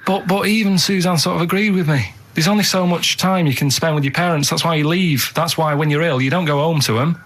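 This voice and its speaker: A man's voice: fairly high pitch, fairly quick, hardly any pauses, fairly bright tone. A man in his thirties, a radio co-host, telling anecdotes in a matter-of-fact, grumbling way. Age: 30s. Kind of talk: casual anecdote and banter.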